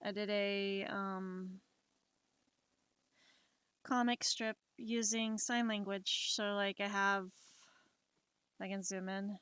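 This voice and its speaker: A young adult woman chatting casually while she draws on a live stream, unhurried and rambling, with many fillers and restarts. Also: mid-range voice, level tone, a bit bright, smooth, dry recording. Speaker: livestreamer.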